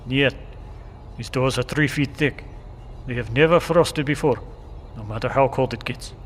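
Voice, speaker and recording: An actor playing a wary, stoic watchman in a bunker, speaking deliberately and formally in an audio drama. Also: mid-range voice, lightly acted. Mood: uneasy. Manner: deliberate, formal phrasing without contractions. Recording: echoey bunker reverb with background noise.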